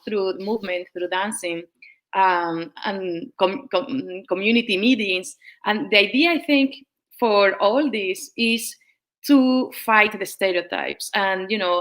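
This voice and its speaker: A woman with a bright, crisp voice speaks as a panel guest over a video call. She talks haltingly, often restarting as she searches for the right word. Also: mid-range voice. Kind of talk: casual panel chat.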